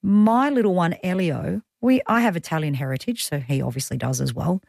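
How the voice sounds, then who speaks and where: A woman's voice: on the low side, fairly quick, creaky, darkish tone, no room sound, studio quality. Chatty Australian woman radio host. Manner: chatty, conversational, personal asides. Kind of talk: talk radio show rundown. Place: radio studio. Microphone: studio microphone.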